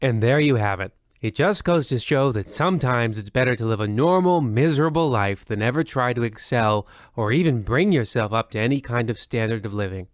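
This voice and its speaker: A man playing a smug, mock-solemn radio anthology host, moralizing in a pompous deadpan. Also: mid-range voice, a little fast, few pauses, dry recording, clean studio sound, lightly acted. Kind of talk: scripted old-time radio narration.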